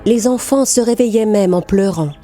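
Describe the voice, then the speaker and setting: A woman narrating a scripted children's fairy tale in a clearly articulated storytelling manner. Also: mid-range voice, moderate pace, dark tone, soft background music.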